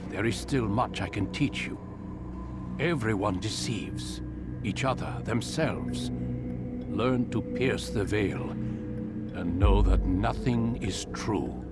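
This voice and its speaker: An actor playing a wise, commanding older mentor of assassins in a video game, grave, deliberate and instructive. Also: male voice, lowish voice, animated, over loud music. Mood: grave, instructing. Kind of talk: scripted video game acting.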